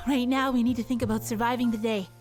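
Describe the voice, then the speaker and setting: A woman plays a brilliant and confident heroine inventor of a radio serial. The voice is fairly high, the delivery dry and self-assured, over background music. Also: steady tone, fairly quick, close to an everyday voice. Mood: determined.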